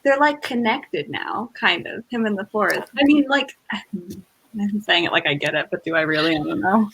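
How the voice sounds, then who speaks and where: Woman, raspy, sharp bright tone, medium pitch, casual co-host chat, hesitant. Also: expressive, moderate pace, rough recording. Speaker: book discussion co-host.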